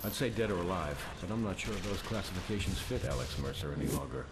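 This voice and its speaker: Grave male scientist, deep voice, formal measured briefing, video game cutscene, loud background noise. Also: animated. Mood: grave, ominous. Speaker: scientist briefing military officers.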